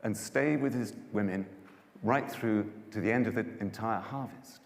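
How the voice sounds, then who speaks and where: A man's voice: fairly low pitch, normal speed, creaky. Man voicing a humble young woman, echoing hall. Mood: eager. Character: young woman, humble, dutiful daughter-in-law. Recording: echoey hall reverb.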